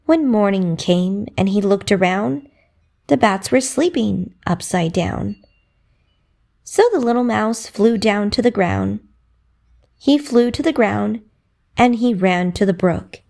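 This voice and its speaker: A woman narrating a children's bedtime story in a rhythmic, expressive way with dramatic emphasis. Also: medium pitch, slow, vocal fry.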